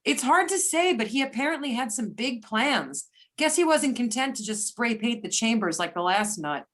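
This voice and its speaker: A woman reading a brisk, wry government agent in amateur readers theater, with everyday delivery. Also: on the high side, very animated, a bit bright. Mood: matter-of-fact. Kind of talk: amateur readers theater over a remote call.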